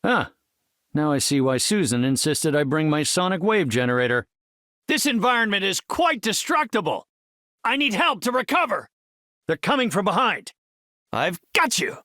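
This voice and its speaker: A man's voice: high-pitched, sing-song, moderate pace, bright, forceful tone, dry recording, full character voice. A brainy middle-aged male superhero scientist from a video game, earnest and confident. His speech is formal and articulate, with widely swinging intonation, captured very dry and close. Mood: thoughtful, composed. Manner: articulate, formal, erudite wording. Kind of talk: video game character voice lines.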